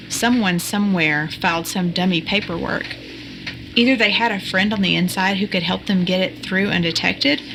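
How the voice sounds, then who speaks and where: A woman's voice: medium pitch, noisy background, natural voice. A woman playing a curious, tech-savvy young computer sleuth in an audio drama, explaining things conversationally.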